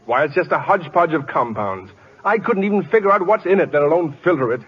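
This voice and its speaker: Excitable professor played by a man, lively higher voice. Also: quick pace, close to an everyday voice. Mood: dejected. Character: earnest, excitable male chemistry professor, bookish and mild. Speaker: actor. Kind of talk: 1940s radio acting. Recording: dull old radio broadcast with music.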